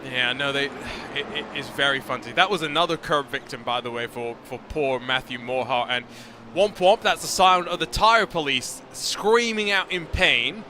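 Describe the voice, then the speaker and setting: A man's voice: medium pitch, bright, forceful tone. A man commentating a sim race, chatting and joking with his co-commentator. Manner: chatty, joking, quick-witted. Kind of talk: live race commentary chat. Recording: noisy commentary mic.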